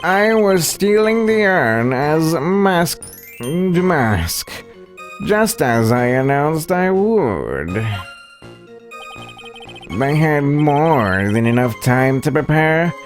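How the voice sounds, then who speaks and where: A man's voice: a little high, very animated, extremely slow, faint music behind, exaggerated voice. A man voicing a pompous, smug detective who boasts of being a master thief in a visual novel, grandiose and condescending.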